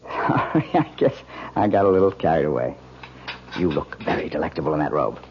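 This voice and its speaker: A coaxing new husband in a radio drama. His voice is deep and swings expressively, with a casual, doting manner. The old recording is muffled. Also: sing-song, fairly quick, noisy background, full character voice. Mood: playful, affectionate. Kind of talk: 1970s radio drama acting.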